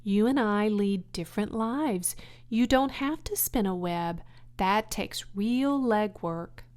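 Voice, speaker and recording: A woman's voice: mid-range voice, slow, darkish tone, lightly acted. A woman voicing a wise, patient female spider who explains things precisely in a storybook.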